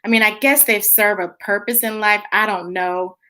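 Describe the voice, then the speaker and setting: A woman's voice: mid-range voice, steady tone, normal speed, bright, forceful tone. An American woman chatting casually and playfully to young viewers as a children's story time host, stretching words for emphasis.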